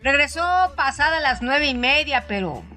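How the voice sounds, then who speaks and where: A woman's voice: high-pitched, expressive, normal speed. A woman narrating a literary audio story in a dramatized radio storytelling style, with music behind her. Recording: soft music under the voice.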